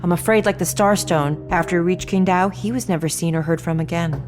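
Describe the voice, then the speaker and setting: A woman's voice: lowish voice, a little fast, lightly acted. An actress playing a poised, worldly woman who tells a story and gives orders in an audio drama. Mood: explaining. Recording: music bed under the voice.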